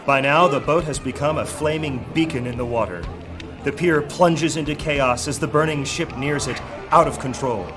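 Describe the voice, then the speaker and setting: A man narrating a high fantasy audio drama with dramatic storytelling delivery. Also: normal speed, over music, crowd noise, natural voice. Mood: dramatic, grave. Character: male narrator of dark high fantasy adventure.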